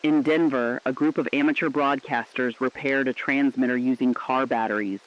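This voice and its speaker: Dry, slightly muffled male voice, acted, mid-pitched: weary underground radio drama broadcaster, solemn, reflective, clinging to hope. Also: steady tone, moderate pace, natural voice. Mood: grave, hopeful. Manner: solemn, poetic, reflective delivery. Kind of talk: scripted apocalyptic radio broadcast narration.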